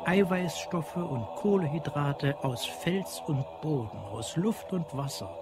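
A man narrating scripted literary prose in a measured, precise way. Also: fairly high pitch, animated, very noisy. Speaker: audio drama narrator. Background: prominent music.

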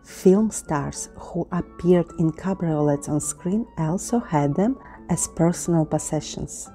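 Low, mellow-voiced woman tutorial host. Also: slow. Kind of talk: tutorial voice-over with history. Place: indoor studio with painted backdrop. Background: soft background music.

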